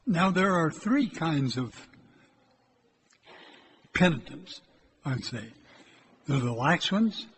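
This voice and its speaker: An older priest preaches to his parish in a loud, direct voice that sits a little high for a man. His words ring out through a church with a long echo, and a faint hiss sits under the recording. He talks plainly and does not keep his voice low. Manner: loud, hard time keeping his voice low. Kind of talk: church exhortation. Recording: echoey church from a distance.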